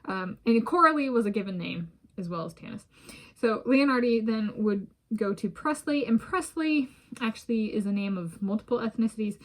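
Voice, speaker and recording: Rambling American woman vlogging to camera. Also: a little high. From United States. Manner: rambling, many restarts and soft laughs. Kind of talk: casual solo talk to camera. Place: home in a residential area. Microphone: self-filmed camera.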